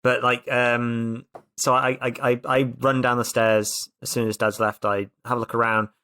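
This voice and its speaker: A man of medium pitch narrates his own moves in a tabletop game. He is casual and playful, feeling his way through sentences as he improvises. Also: flat delivery, normal speed, sharp tone. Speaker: tabletop roleplay player.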